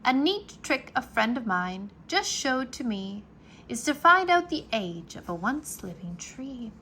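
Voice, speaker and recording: A woman reading a children's book aloud like a teacher, expressive and carefully enunciated for young listeners. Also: a little high, unhurried, slight background noise. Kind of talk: children's read-aloud narration, rhyming nonfiction.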